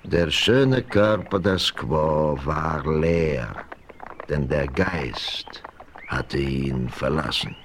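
An actor playing an old Osage chief in a 1970s radio play, deliberate and grave, speaking of himself by name. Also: male voice, very deep voice, a little hiss or hum, full character voice. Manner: slow, deliberate, speaks of himself in third person.

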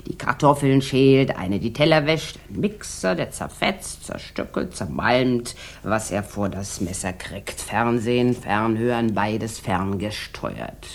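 Deep-voiced, boozy, mocking rich housewife. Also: female voice, heavily acted. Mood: tipsy, expansive. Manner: theatrical, sardonic, drawn-out rambling delivery. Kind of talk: 1960s German radio drama acting.

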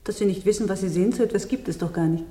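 Actress as soft-voiced young dancer, echoing room. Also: female voice, mid-range voice, very fast, lightly acted. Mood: curious, teasing. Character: young variety dancer, guarded, dreamy, confiding. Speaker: radio drama actor. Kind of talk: intimate German radio play dialogue. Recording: reverberant room with long echo.

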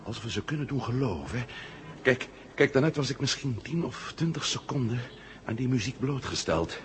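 A man's voice: medium pitch, expressive, moderate pace, a little hiss or hum, lightly acted. A man acting a resourceful, decisive astronaut hero in a 1970 Dutch radio drama. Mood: urgent, persuasive. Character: resourceful male astronaut hero, decisive captive planning escape.

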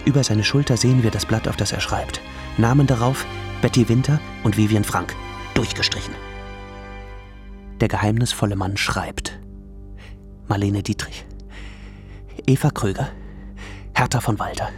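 A man reading screenplay directions in a measured, solemn way in a radio drama. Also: lowish voice, over music, lightly acted. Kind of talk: slow scripted narration.

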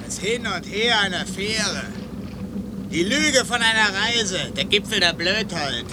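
An actor playing a bitter, bored, scornful passenger in a German radio drama. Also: male voice, sits high, animated, measured pace. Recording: clear background noise on radio play.

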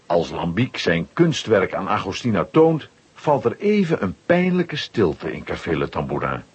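A male narrator tells a children's story in a lowish, very husky voice. His delivery is scripted and highly animated, on a slightly muffled recording. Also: moderate pace.

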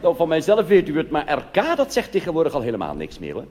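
A male cabaret performer delivers a comic monologue from a theatre stage. His voice is fairly high and very animated, moving conversationally into wry asides. The live recording carries long hall reverb. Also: fast, some chatter behind, slight background noise.

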